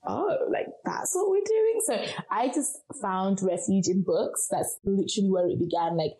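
A woman's voice: mid-range voice, expressive, moderate pace, warm tone. A young woman from Zimbabwe, answering interview questions with earnest, encouraging advice. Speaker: guest speaker.

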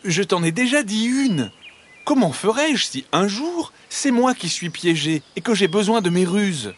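A man's voice: fairly high pitch, lively intonation, unhurried, slight background noise, voice pushed up. A man voicing a clever, exasperated but kind-hearted hedgehog in a children's audio storybook, speaking emphatically. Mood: annoyed. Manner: emphatic, scolding.

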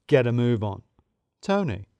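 A man's voice: medium pitch, very animated, unhurried, a little mellow, clear, resonant tone, no room sound, clean studio sound. A man reading as a blunt, worried coworker. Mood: urgent. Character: worried male coworker writing a farewell note.

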